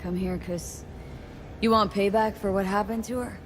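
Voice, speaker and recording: A woman's voice: medium pitch, steady tone, moderate pace, noisy background, lightly acted. An actress playing a streetwise, dry-witted female mercenary in a video game, talking in a cool, wry, casual way. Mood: probing, skeptical. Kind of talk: video game cutscene acting.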